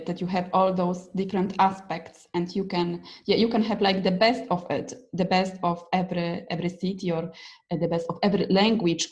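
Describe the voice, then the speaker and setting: Lower-pitched woman interviewer, slightly dull audio. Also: a little mellow. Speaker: interviewer, host. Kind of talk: casual conversational interviewing.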